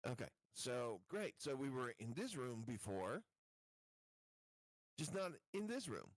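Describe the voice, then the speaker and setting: A man's voice: medium pitch, sing-song, unhurried, no echo. A man chatting casually as a player in a tabletop roleplaying game.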